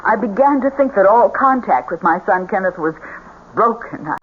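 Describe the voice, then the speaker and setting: A woman's voice: medium pitch, expressive, moderate pace, close to an everyday voice. An actress playing a middle-aged grieving mother, trusting and breathless, in an old radio melodrama. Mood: anxious. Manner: tremulous, breathless, effusive. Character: grieving, trusting mother desperate to reach dead soldier son. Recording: muffled, hissy old radio recording.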